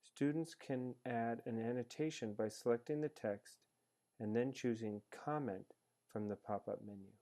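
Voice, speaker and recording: Mellow male tutorial narrator. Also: medium pitch, moderate pace. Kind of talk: scripted instructional narration. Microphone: screencast voice-over.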